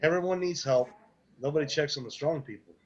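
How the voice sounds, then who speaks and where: An actor at an online table read playing an easygoing, world-weary support group leader in a drama, over lo-fi call audio. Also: male voice, a little high, a little fast, noisy background, lightly acted. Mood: reflective. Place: remote video call.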